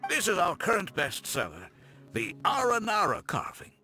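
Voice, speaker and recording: Eager friendly merchant, super animated sales pitch, anime video game shop. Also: male voice, moderate pace, exaggerated voice. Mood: welcoming, salesy. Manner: welcoming sales patter. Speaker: shopkeeper. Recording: music playing behind the voice.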